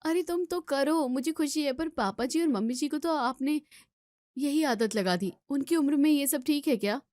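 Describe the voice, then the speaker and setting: Woman acting a fretful young housewife, pitched up. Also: normal speed, a little mellow, no room sound, clean studio sound, lightly acted. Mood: fretful, teasing. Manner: fretful, emphatic. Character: anxious, complaining young Indian daughter-in-law and housewife. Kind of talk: acted Hindi family story dialogue.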